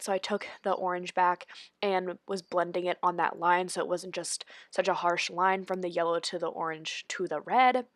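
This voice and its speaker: A young woman talks through a makeup tutorial in a loose, chatty voice-over, leaning on "like" and "honestly". She sounds stuffy and congested from a cold, and her voice sits a little low. The recording is dry and close, with no room sound. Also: no room sound. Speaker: beauty vlogger. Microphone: voice-over recorded separately.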